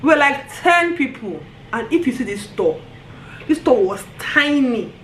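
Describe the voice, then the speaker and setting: A woman with a Nigerian accent telling a story on her vlog in an animated way, often breaking into laughter. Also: high-pitched, a little slow, slight background noise. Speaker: vlogger. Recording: roomy echo, faint ambience.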